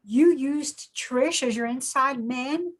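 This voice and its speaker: Woman doing a scared loyal friend in a drama, high voice, slow and pleading. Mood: frightened, pleading. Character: terrified but loyal friend held hostage. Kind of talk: dramatic table read.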